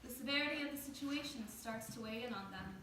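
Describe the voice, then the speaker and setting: A woman's voice: on the high side, fast talker, pushed and bright. Female stage-direction reader, scripted narration, heard from afar in a noisy, reverberant room. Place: indoor stage, recorded from a distance. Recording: echoey, noisy room from far off.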